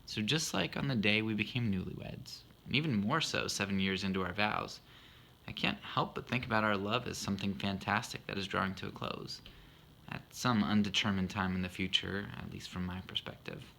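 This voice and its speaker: A man's voice: on the low side, fairly quick, gravelly, sharp tone, slight background noise. A man in his thirties explaining a poem he wrote for his wife, earnest and thoughtful with a self-deprecating humor. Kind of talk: reflective spoken monologue.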